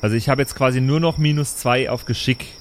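A man's voice: medium pitch, steady tone, fast talker. A man chatting casually as a player at a tabletop game, asking about the rules. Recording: clearly noisy, crickets chirping.

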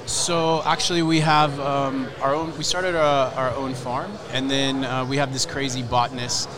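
Halting man talking over a crowd. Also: medium pitch, level tone. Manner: halting, many fillers and restarts. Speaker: founder of a spirits brand. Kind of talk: casual interview. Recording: outdoor crowd noise.

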